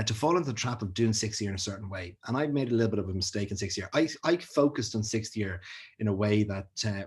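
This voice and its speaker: A man speaks to students in a relaxed, encouraging way, full of fillers and friendly asides. His voice sits low and has a husky edge. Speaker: school wellbeing speaker. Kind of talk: casual talk to students on video.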